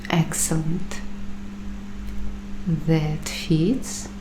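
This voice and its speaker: Woman playing paranoid bunker-dwelling waitress, ASMR roleplay, hushed, low-pitched, very drawn-out pacing, earnest, long pauses. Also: level tone. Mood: probing, approving. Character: paranoid waitress turned doomsday prepper interviewing bunker roommates. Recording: clearly audible background noise.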